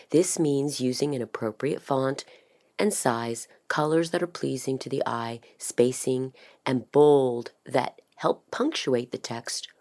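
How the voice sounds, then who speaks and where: Very low-pitched woman narrator. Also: a little mellow. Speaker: voice-over artist. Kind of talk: scripted explainer narration.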